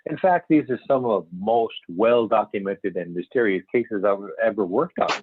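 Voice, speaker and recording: A man playing an earnest, assured UFO scientist in an amateur script reading, explaining emphatically with some halting stumbles. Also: lowish voice, husky. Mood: firm, insistent. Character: male expert scientist investigating UFO reports, earnest and assured. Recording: tinny phone-like conference call, echoey room.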